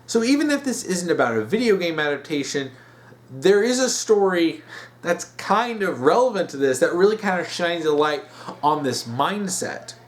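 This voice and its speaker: A man giving a casual, opinionated commentary in a blunt, exasperated and emphatic way. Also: on the high side. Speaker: online commentator.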